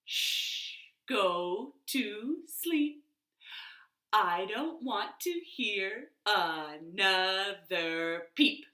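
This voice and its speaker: A woman voicing an exasperated father from a children's story, scolding firmly with drawn-out hushing. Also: medium pitch. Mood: stern, hushing. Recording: roomy, echoey home recording.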